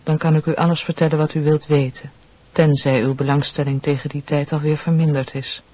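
Earnest young nurse, husky voice. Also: female voice, low voice, flat delivery, fairly quick, darkish tone, no echo. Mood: formal, dutiful. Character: earnest, devout young nurse, gentle and dutiful. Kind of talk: Dutch radio play acting.

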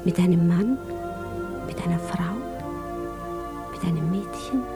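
An actress playing a wistful, tender woman in a radio drama, speaking gently and reflectively. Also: lowish voice, measured pace, music in the background, lightly acted. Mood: dreamy longing. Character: wistful, tender, longing woman lost in thought. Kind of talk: 1960s German radio drama acting.

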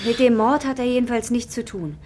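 A woman's voice: on the high side, fairly quick, darkish tone, a little hiss or hum, natural voice. An actress playing a guarded, worried young waitress and mother in a radio crime drama. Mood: guarded, brisk. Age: young adult.

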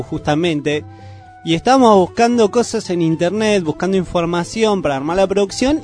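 A man's voice: high-pitched, darkish tone. A man with an Argentine accent from the Buenos Aires area, chatting casually as a radio co-host. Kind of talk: casual chat. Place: radio studio.

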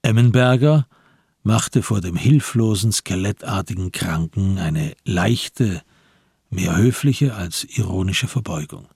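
A male narrator of a radio crime play with an extremely husky, lowish voice. He reads slowly and deliberately, with dramatic weight. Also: expressive, darkish tone, dry recording, clean studio sound.

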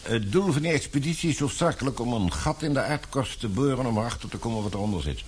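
An actor playing an authoritative older newspaper baron in a radio play, brisk, patronising and fatherly. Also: mid-range voice, animated, a little hiss or hum, lightly acted. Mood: businesslike. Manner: commanding, patronising. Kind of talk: Dutch radio play acting.

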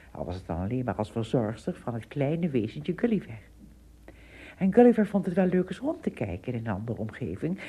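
A woman's voice: low voice, dark tone, slightly rough. A woman narrating a radio play in a scripted storytelling style.

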